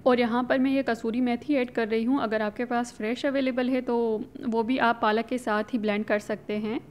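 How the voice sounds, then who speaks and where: A woman's voice: fairly high pitch, fairly even intonation, moderate pace. A woman hosting her own cooking channel, casually talking viewers through a recipe step by step and mixing English words into her speech. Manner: chatty, instructive, mixes in English words.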